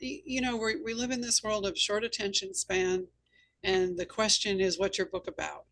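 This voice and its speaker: A woman hosting a conversational interview among authors, speaking in a thoughtful, measured way. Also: mid-range voice, fairly even intonation, a bit bright. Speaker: host and author.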